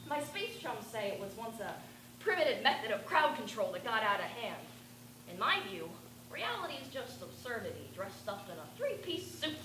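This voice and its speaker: A teenage girl playing an eccentric, rambling bag lady who talks to aliens, with a raspy voice and a ranting, confiding stage-monologue delivery. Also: on the high side, very animated, normal speed. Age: teens. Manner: ranting, confiding, addressing passers-by. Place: stage performance. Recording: echoey room with background noise.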